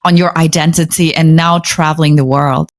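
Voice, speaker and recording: Lower-voiced woman hosting a podcast. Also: steady tone, moderate pace. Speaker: podcast host. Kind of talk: casual podcast interview.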